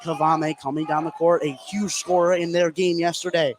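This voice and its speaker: A man doing live radio play-by-play of a basketball game, getting excitable on big plays. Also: a little high, moderate pace, a little hiss or hum. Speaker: play-by-play commentator.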